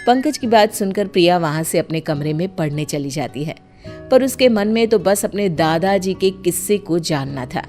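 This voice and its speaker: A woman narrates a scripted tale as storyteller. Her voice is on the low side for a woman. Music plays clearly behind her. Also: animated, moderate pace, darkish tone.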